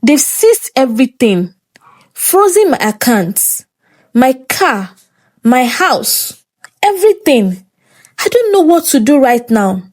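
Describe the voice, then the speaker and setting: A woman's voice: high voice, sing-song, slow pace, a little mellow, exaggerated voice. A Nigerian woman storyteller voicing an earnest, wounded younger sister who pleads plaintively. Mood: distraught.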